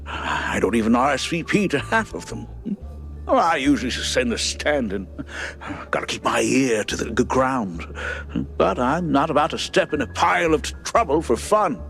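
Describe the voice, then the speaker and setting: An actor playing a shifty but genial mouse politician in a cartoon game, with a heavy stutter and chummy, halting talk. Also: male voice, mid-range voice, big pitch swings, gravelly, a bit bright, faint music behind. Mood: blustering, genial. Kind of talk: cartoon video game voice acting.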